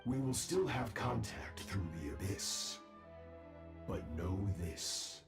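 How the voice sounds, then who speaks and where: Ruthless game villain-mentor, slow and solemn, low voice with dramatic swings. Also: male voice, expressive, gravelly, echoey room, music in the background, exaggerated voice. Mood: menacing. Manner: solemn, theatrical, menacing. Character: stern ruthless male warrior master addressing his disciple. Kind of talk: dramatic video game voice acting.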